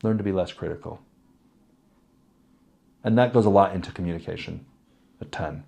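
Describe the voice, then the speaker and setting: A man in his thirties with a raspy voice, candidly answering interview questions in a reflective, conversational way with personal stories. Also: sits low, normal speed, warm tone. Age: 30s.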